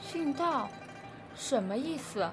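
A high-voiced, guarded young girl played by a woman in a radio drama. She is a brave orphan who speaks tersely, in an echoey space. Faint music sits behind her. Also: lively intonation, slow, exaggerated voice. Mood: questioning. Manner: terse, questioning. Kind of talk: amateur radio drama acting.

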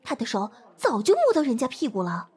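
A woman playing an excitable office gossip in a modern audio drama, mocking and conspiratorial. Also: sits high, fairly quick, breathy edge, heavily acted. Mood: gleeful gossip.

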